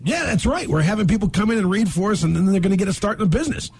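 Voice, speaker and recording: A man's voice: high-pitched, animated, very fast, slightly rough. A man hosting a comedy radio show in a casual, informal bantering style. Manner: informal, often calls people "man".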